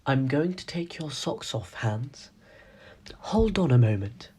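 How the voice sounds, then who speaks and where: A woman playing a calm ship's doctor tending the injured in a radio play, speaking gently and quietly in a breathy, muted voice. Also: very deep voice, slow pace, heavily acted. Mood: calm, soothing.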